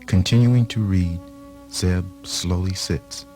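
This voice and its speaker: Deep-voiced male radio theatre narrator. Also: takes it slowly, darkish tone. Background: music.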